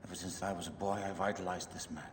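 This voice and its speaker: A man's voice: low-pitched, fairly even intonation, fast talker, lightly acted. A man playing a proud, brooding Roman general in his fifties in a dramatic video game scene. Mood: wistful, brooding. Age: 50s. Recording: echoey hall, long reverb, faint noise.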